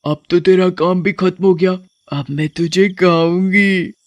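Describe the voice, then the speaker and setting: A man voicing a menacing, hungry female witch in a cartoon story, with drawn-out threats. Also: sits high, steady tone, dry recording.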